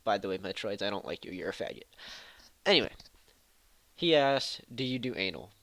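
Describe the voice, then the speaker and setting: A man's voice: fairly low pitch, very animated, brisk, a bit bright. Stuffy-nosed joking gamer guy. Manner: joking, irreverent, offhand. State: nasal from allergies. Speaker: gaming channel host. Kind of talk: casual Q&A vlog reading viewer questions.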